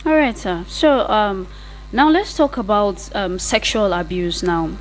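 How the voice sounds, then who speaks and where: A woman's voice: mid-range voice, a little slow, soft background music, poor audio. A woman with a Nigerian accent hosting a radio interview and putting questions to a guest. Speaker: radio host. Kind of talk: interview questioning with frequent acknowledgements. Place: radio studio.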